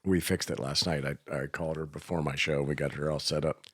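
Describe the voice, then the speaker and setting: A man with a low-pitched voice chats informally, like a host talking shop with a friend. His delivery is loose and teasing, and the sound is dry, with hardly any room to it. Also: steady tone, brisk, fairly bright tone, husky, dry recording. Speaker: radio show host. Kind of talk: casual chat.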